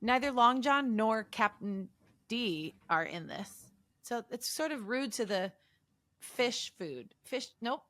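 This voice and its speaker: High-voiced woman bantering, dry close sound. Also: animated, slow, fairly bright tone, dry recording. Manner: joking, riffing. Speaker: podcast co-host. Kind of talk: casual comic banter.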